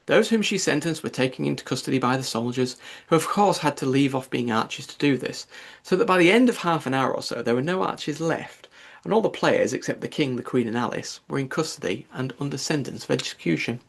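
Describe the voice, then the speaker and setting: A man's voice: medium pitch, animated, a little fast, gravelly. A man narrating a children's storybook as a scripted audiobook reading.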